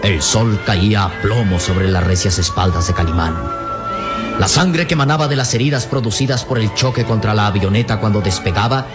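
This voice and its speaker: An actor playing a solemn adventure storyteller, narrating a radio drama emphatically. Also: male voice, on the low side, moderate pace, music in the background, lightly acted. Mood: grave, dramatic. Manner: grave, emphatic, florid storytelling delivery.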